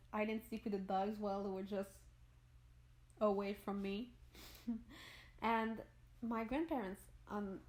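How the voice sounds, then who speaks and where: A young French Canadian woman, French her first language, narrating a game-building video in a rambling way and often searching for words. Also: mid-range voice. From Montreal, Quebec. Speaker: gaming YouTuber.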